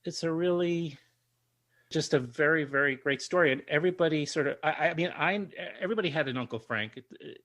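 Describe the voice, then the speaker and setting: A man's voice: a little high, brisk, fairly bright tone. A man hosting a podcast interview over a video call, rambling and often restarting his questions. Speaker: podcast host. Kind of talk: casual interview chat.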